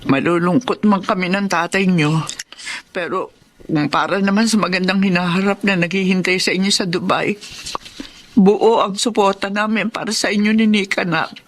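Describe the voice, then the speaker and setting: A woman's voice: mid-range voice, steady tone, moderate pace, natural voice. A woman playing an elderly, devoted Filipino grandmother in a radio drama, with a tender, plaintive way of talking. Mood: tender, resigned. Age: older. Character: elderly grandmother, sorrowful, devoted, supportive mother.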